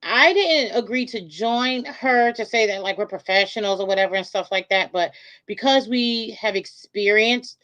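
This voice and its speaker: Relaxed woman guest on a podcast. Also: mid-range voice, normal speed, poor audio. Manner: relaxed, affirming, filler-heavy. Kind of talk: casual chat.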